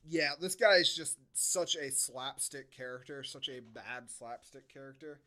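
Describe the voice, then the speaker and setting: A man's voice: medium pitch, measured pace, bright, forceful tone. A man co-hosting a podcast, giving a casual, comedic movie recap in a sardonic manner. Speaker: podcast co-host.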